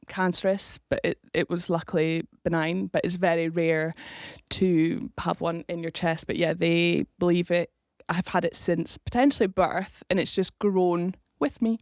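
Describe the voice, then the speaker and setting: A woman's voice: on the low side, dry recording, clean studio sound. A young woman from Scotland, a rugby player, chatting casually as a podcast guest, laughing often and restarting her sentences.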